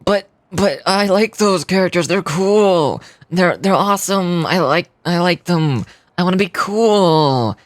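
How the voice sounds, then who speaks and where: A woman voicing an earnest, very polite young boy in a video game, eager to be cool and a little halting. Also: fairly low pitch, expressive, no echo, voice pushed down. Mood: earnest, defensive.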